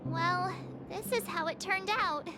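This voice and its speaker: A woman playing a bubbly, naive teenage girl adventurer in a video game, perky and expressive. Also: very high voice, takes it slowly, noisy background. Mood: chirpy. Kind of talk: video game character acting.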